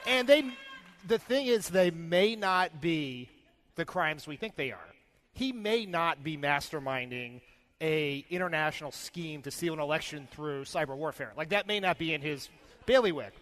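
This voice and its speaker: Male political commentator, higher-than-average pitch, emphatic broken phrasing, reverberant live venue. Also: expressive, normal speed, a bit bright. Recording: echoey live hall.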